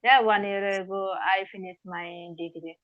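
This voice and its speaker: A woman in her twenties practising English on a video call, halting and hesitant as she searches for words. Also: mid-range voice, fairly even intonation, no room sound. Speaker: English learner. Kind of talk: casual video-call conversation. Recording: dull, dry video-call audio.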